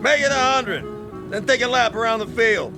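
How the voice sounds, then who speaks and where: A bossy baseball coach calling out drills to new players. His voice is high, lively and often shouted, and music runs behind the radio drama. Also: normal speed. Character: barking male baseball coach.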